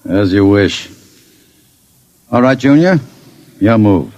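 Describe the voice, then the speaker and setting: An actor playing a suave, scheming criminal, mock-polite and insinuating, in an old-time radio drama. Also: male voice, on the low side, animated, takes it slowly, darkish tone, no room sound, lo-fi sound. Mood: coolly commanding. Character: suave, scheming male criminal, coolly sinister manipulator.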